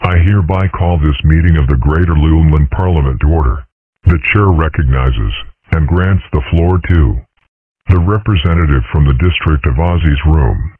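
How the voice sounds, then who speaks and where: Very deep, pompous dragon played by a man. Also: moderate pace, husky, no echo. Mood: ceremonious. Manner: formal, pompous, procedural. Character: pompous, eccentric dragon father, self-styled head of state. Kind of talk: comic strip dub. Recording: muffled narrow radio-like sound.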